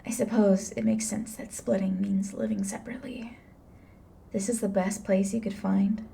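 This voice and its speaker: A woman's voice: medium pitch, steady tone, moderate pace, vocal fry, mellow, slight background noise. A woman playing a blunt, flippant grim reaper in an ASMR roleplay, with an offhand, dry manner. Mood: curious, tactless. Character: blunt, flippant female grim reaper, unsentimental but curious.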